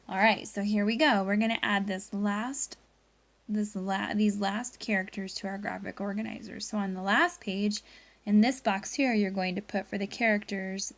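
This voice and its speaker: A woman teacher leading a read-aloud lesson for pupils, reading expressively and guiding them through a story. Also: medium pitch. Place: indoors at a laptop.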